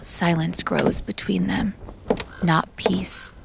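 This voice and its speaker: A woman narrating a dramatic audiobook story in a hushed, solemn storytelling manner. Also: fairly low pitch, flat delivery, takes it slowly, vocal fry, background noise.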